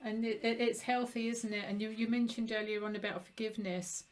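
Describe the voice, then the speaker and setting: A woman's voice: on the high side, fairly even intonation, a little fast, a crowd in the background. A woman hosts an interview in a supportive, empathetic way. She talks conversationally and uses many fillers and affirmations. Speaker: interview host.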